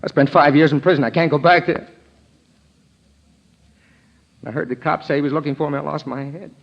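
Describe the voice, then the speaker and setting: An American man with a husky voice playing a hunted ex-convict who protects his pal, in old-time radio drama. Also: fairly high pitch, fairly even intonation, quick pace, slight background noise. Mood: desperate. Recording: dull old radio recording.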